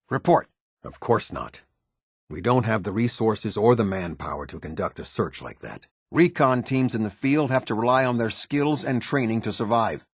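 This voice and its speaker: A man voicing a stern, disciplined military captain in a video game, with a husky voice and a clipped, commanding, formal delivery. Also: fairly low pitch, dry recording, clean studio sound, exaggerated voice. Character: stern male military captain, disciplined, exacting, loyal to his order.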